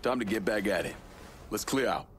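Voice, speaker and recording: A man's voice: lowish voice, fairly quick, very noisy, close to an everyday voice. A man playing a grim, vengeful young ex-soldier crime boss in a video game, terse and hard-edged. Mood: firm, curt. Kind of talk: cinematic video game acting. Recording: loud music behind the voice.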